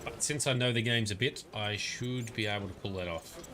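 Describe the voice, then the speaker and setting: A man's voice: on the low side, normal speed, background noise. A man giving casual, rambling commentary while livestreaming a game, muttering asides and grumbling at the characters. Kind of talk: casual live gameplay commentary.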